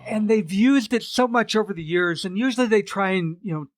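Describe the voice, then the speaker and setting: A man's voice: sits high, fairly quick, no echo, clean studio sound. Wry, laid-back podcast host man. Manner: wry, self-deprecating, relaxed storytelling. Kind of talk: casual podcast chat.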